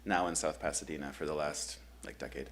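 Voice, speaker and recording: Deep-voiced guy running a church grief group, laid back, lots of ums. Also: brisk. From Michigan. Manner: relaxed, conversational, many ums. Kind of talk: church workshop presentation. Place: church meeting room.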